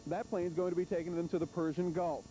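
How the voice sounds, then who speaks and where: A male field reporter delivers live news at a brisk clip. His voice sits high but mellow, on an old broadcast recording with a faint rumble. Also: flat delivery, faint music behind, low-quality recording. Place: outdoors at an army base, on location. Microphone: handheld reporter microphone.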